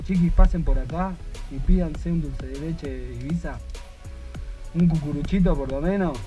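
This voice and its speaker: Chatty Argentine man with a high voice. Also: moderate pace, warm tone, soft background music. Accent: Rioplatense Spanish. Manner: joking, chatty and upbeat. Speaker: motovlogger host. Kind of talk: casual vlog chat. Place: street and small ice cream shop. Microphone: helmet-mounted action camera.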